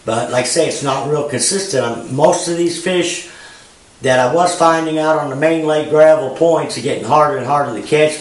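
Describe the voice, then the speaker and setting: A man in his fifties with a Missouri Ozarks accent, giving a casual, rambling fishing report full of "you know" and "uh". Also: mid-range voice. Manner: plain-spoken, rambling, frequent "you know" and "uh". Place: garage with a bass boat, indoors. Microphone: far camera. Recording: roomy garage echo, distant mic.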